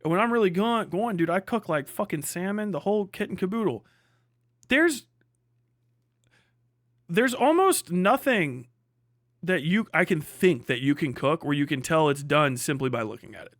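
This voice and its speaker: A man with a slightly high voice talks in a close, dry sound as he hosts a livestream. He is blunt and sardonic, leaning on slang, profanity and hyperbole in a casual rant. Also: animated, normal speed, long pauses, dry recording. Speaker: livestream host.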